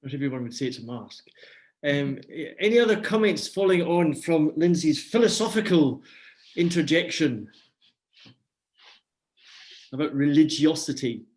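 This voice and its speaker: A man moderating a webinar panel over a video call, passing the floor and reading out audience questions in a brisk, businesslike way. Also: a little high, lively intonation, darkish tone.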